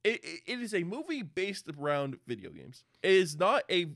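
Very animated, slightly high-voiced man. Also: normal speed, no echo, clean studio sound. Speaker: podcast co-host. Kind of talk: casual film discussion.

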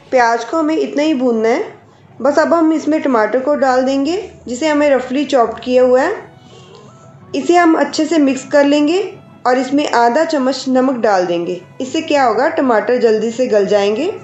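A woman hosting a cooking channel, calmly explaining each step of a recipe to her viewers. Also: sits high, normal speed, echoey room, lo-fi sound, faint music behind. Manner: calm, explanatory, addresses viewers directly. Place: home kitchen.

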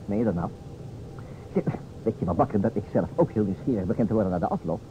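A man's voice: lowish voice, expressive, fast talker, close to an everyday voice. Man acting playful musing game partner in old radio play, voice jumps around, dull hissy recording. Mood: playful, busy. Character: playful man improvising scenes and sound effects for a detective game.

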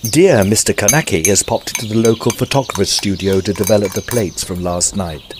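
An actor playing a gentlemanly Edwardian narrator of a ghost story, telling it formally in a scripted audio drama. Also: male voice, lowish voice, moderate pace, noisy background, lightly acted. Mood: affable.

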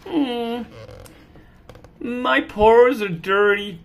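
Woman putting on a grumpy, dramatic cat, high-pitched. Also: slight background noise. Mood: grumpy, indignant. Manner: exaggerated, exclaiming, drawn-out. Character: grumpy, dramatic, self-important house cat.

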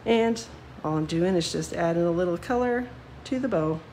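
A woman's voice: on the low side, moderate pace, darkish tone, a little hiss or hum. A woman demonstrating card-making live, chatty and self-deprecating with lots of ums, a little sniffly from a cold. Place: home craft desk, seen from above.

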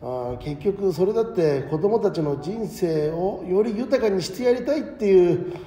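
Guy playing older teacher, really mellow dark voice, slightly high, modest and thoughtful, radio drama in a big echoey space. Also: animated. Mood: reassuring. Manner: reflective, measured mentor talk. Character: ageing male veteran schoolteacher, modest, principled, gently frank. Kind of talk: audio drama acting, conversational. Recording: echoey hall reverb, music underneath.

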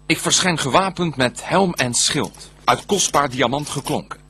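An actor playing a proud, martial archangel, declaiming heroic verse in a radio play. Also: male voice, a little high, moderate pace, sharp tone, slightly rough, full character voice. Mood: proud, defiant.

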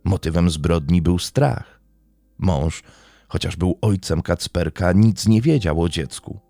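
A man narrating a scripted true-crime documentary in a measured, serious way. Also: low-pitched, vocal fry, warm tone, no room sound.

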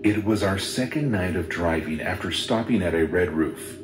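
A man reading a first-person horror story in a steady, measured storytelling voice. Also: low-pitched. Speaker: narrator. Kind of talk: scripted horror story narration. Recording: roomy sound with music underneath.

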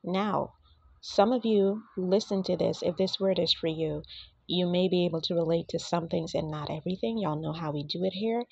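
A woman who is under the weather and a bit raspy, giving an earnest, casual prophetic message and speaking directly to her listeners. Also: on the low side, moderate pace, a little mellow, no room sound, highs cut off. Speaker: prophetic minister.

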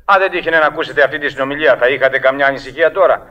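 An actor in a radio drama playing a sceptical, patronizing police desk officer who placates a caller. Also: male voice, mid-range voice, close to an everyday voice. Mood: sceptical, impatient. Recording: dull old radio recording.

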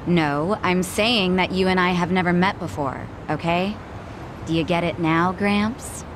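A woman's voice: lowish voice, unhurried, background noise, close to an everyday voice. An actress playing a blunt, sarcastic young woman bartender in a video game. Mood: curt, irritated. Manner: brusque, sarcastic. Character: blunt, prickly young female cabaret bartender. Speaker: voice actor in a game. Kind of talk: English video game cutscene dub. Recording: music behind the voice.

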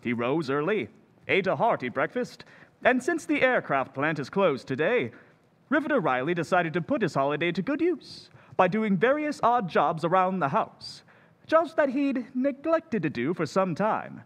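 A male announcer giving measured, wry scripted narration for an old-time radio comedy recreation. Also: high voice, very animated, fairly bright tone, big-room sound.

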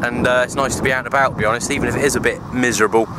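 A man's voice: medium pitch, fairly even intonation, very fast. A man chatting casually and easily in a rambling outdoor vlog, recorded on a handheld camera at arm's length. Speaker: radio hobbyist vlogger. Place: outdoors, open parkland in wind. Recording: noisy windy outdoor camera audio.

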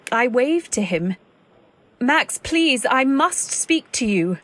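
A woman playing an anxious, earnest wife, narrating a radio drama in a literary, deliberate way. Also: sits high, very animated, fairly bright tone, heavily acted. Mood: pleading. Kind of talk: dramatic scripted narration, old-time radio.

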